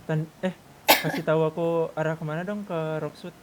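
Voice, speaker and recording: A man with a somewhat high voice chats casually as he plays. It sounds like game voice chat, with a little noise behind him. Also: fairly even intonation, a little fast, fairly bright tone. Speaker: roleplay gamer.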